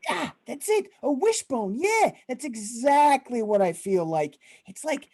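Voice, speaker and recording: Woman playing an exasperated, animated mob boss, high mellow voice. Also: unhurried, heavily acted. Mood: agitated, confused. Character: exasperated male mob boss running a pizza parlor. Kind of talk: comic radio play acting.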